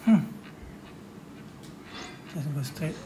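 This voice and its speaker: A man's voice: fairly high pitch, expressive, very slow, background noise. A man giving casual game walkthrough commentary, murmuring brief thoughts to himself. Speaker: gamer. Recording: loud game music over the commentary.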